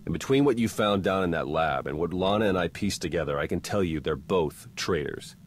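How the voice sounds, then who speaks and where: Deep-voiced wry secret agent. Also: male voice, lively intonation, brisk, slight background noise. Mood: dry, wry. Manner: casual, dry, wisecracking. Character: wry, confident young male Republic secret agent. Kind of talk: scripted video game cutscene acting.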